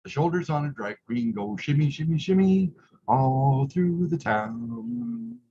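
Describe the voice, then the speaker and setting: A man's voice: fairly high pitch, very animated, unhurried, mellow. A man who is a drag queen reading aloud at story time, stumbling over words at times and chatting casually between passages with the odd "eh". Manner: tags remarks with "eh". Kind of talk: story time read-aloud with chanted refrains and casual asides. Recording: muffled lo-fi audio with clear background noise.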